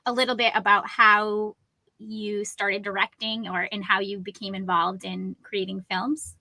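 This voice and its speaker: Bright, squeaky-voiced woman co-host. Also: level tone, a little slow, vocal fry, no room sound, poor audio. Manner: short eager affirmations. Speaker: podcast co-host. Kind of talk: casual interview.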